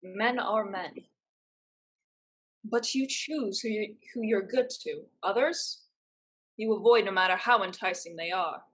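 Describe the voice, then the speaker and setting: A woman's voice: mid-range voice, unhurried, pauses often, a bit bright, close to an everyday voice, low-quality recording. A woman playing a bold, headstrong, defiant young survivor in a staged play reading, theatrical and emphatic. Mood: persuasive.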